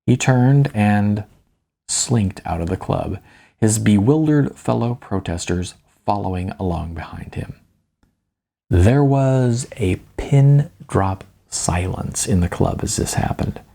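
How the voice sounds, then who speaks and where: A man reading his own fiction audiobook in a dramatic, theatrical style with deliberate, suspenseful pauses. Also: fairly low pitch, expressive, gravelly, dark tone. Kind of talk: dramatic scripted narration.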